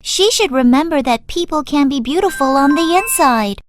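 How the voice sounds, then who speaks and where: A woman's voice: high voice, expressive, moderate pace, noisy background. Marie, a woman doing an earnest young girl. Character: kind, thoughtful young girl.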